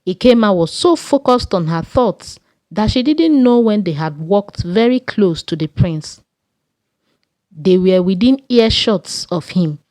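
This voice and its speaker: A woman with a Nigerian accent narrating a scripted folktale in a measured storytelling way. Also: medium pitch, expressive, a little mellow, dry recording.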